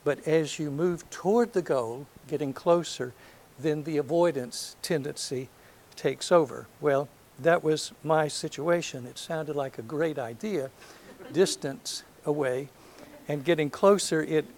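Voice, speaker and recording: An older man from North Alabama with a soft voice, giving a reflective lecture to an audience, hesitant and full of ums, with gentle self-deprecating humor. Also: fairly high pitch, unhurried. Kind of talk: last lecture, reminiscence. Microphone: handheld or podium microphone.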